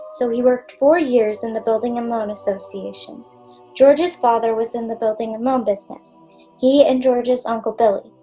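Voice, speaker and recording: A matter-of-fact female angel narrator, played by a woman. She has a slightly high voice and an instructive storytelling manner in old radio-play style, with soft music behind. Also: lightly acted, rough recording. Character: matter-of-fact female senior angel telling a case history.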